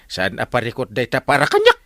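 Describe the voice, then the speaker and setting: Wildly swooping comic skeptical villager. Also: male voice, mid-range voice, wildly swooping pitch, fairly quick, pushed and bright, dry recording, clean studio sound, heavily acted. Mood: dismissive. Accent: Ilocano Filipino. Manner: hesitant interjections, exaggerated swoops. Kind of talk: Filipino comedy radio drama acting.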